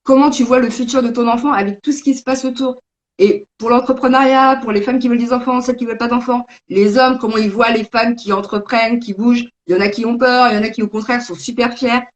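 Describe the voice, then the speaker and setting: A woman's voice: fairly high pitch, fairly even intonation, fast talker, lo-fi sound. A woman born in Belgium and raised in France, a game creator chatting casually and thoughtfully, with frequent hesitations, over a video call. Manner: thoughtful, talkative, many hesitations. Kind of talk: casual conversation.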